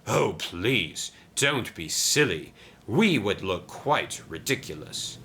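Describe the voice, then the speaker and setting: A man's voice: lowish voice, sing-song, takes it slowly, pushed and bright, slight background noise, exaggerated voice. A man voicing a cross, scheming male diesel engine in a children's story, curt and sly.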